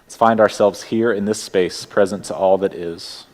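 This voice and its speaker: Soft-spoken Texan man leading worship. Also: low voice, steady tone, normal speed. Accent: Texas. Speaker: worship leader. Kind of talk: welcoming church announcements and opening words. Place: church sanctuary.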